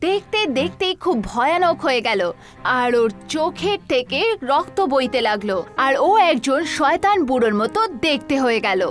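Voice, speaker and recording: A woman's voice: sits high, animated, normal speed, faint music behind. A woman narrator reading a scripted horror story.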